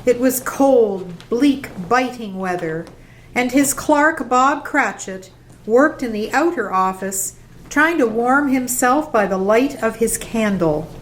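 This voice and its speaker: Slow-paced woman narrator, fairly high voice. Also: expressive, slight background noise, poor audio. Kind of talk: scripted storytelling narration for radio play.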